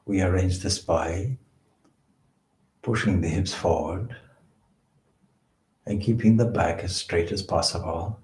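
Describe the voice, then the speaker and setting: Low-voiced man guiding meditation, slow and echoey. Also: darkish tone. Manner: measured, pausing between short phrases. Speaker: meditation teacher, swami. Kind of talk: guided meditation instruction. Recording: echoey room.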